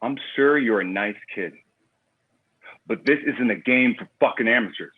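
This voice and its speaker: An actor playing a cocky, foul-mouthed crime boss with swaggering street talk in a screenplay table read. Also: male voice, medium pitch, normal speed, slightly rough, narrow, tinny audio, lightly acted. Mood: boastful, menacing.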